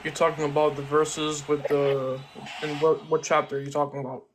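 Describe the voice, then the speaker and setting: A man's voice: a little high, little pitch movement, fairly quick, noisy background. A man in his twenties calling in to a debate, answering in a plain, earnest way. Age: 20s. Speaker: caller. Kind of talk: casual debate.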